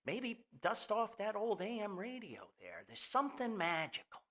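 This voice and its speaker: An older American man hosting a podcast in a rambling, nostalgic monologue, folksy, halting and enthusiastic. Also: high voice, very animated, a bit bright. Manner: folksy, halting, enthusiastic, refers to himself by name. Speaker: podcast host.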